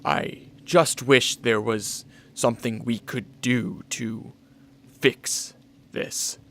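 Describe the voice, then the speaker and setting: An actor playing a weary, melancholy vampire centuries old in a radio drama. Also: male voice, mid-range voice, steady tone, drawn-out words, gravelly, a bit bright, heavily acted. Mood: melancholy, wistful. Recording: music behind the voice.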